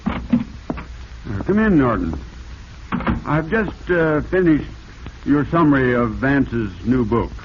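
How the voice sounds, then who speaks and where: An actor playing a brisk, avuncular publishing boss in 1940s radio style, emphatic and excitable in manner. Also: male voice, mid-range voice. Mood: brisk, pleased. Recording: muffled, noisy vintage radio recording.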